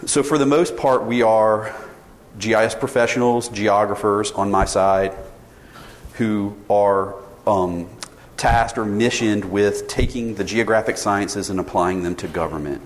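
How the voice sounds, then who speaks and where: A man's voice: on the low side, a little slow, slight background noise. A man in his forties gives a casual conference presentation to an audience, polling them with questions and restarting his sentences often. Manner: informal, polls the audience, many restarts. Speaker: sales director presenting at a conference. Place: conference session room. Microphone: tethered mic. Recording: reverberant hall, faint ambience.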